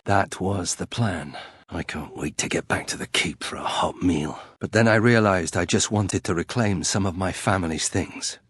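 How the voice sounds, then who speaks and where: A proud, brooding young noble archer from a fantasy game, with a low, husky voice. He is terse and guarded, with a dry wry edge, recorded very close and dry. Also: no echo. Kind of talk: video game character acting.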